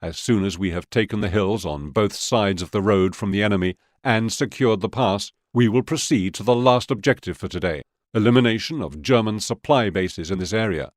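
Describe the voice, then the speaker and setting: Stern Soviet officer male actor, authoritative and measured, lower register, dry studio sound, war game briefings. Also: no echo, lightly acted. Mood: firm, resolute.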